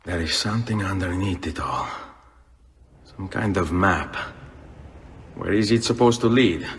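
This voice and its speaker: A man's voice: deep voice, lively intonation, unhurried, slight background noise. An actor with an Italian accent playing a vengeful, hot-headed young Italian nobleman turned assassin in a video game. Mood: focused, curious. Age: young adult.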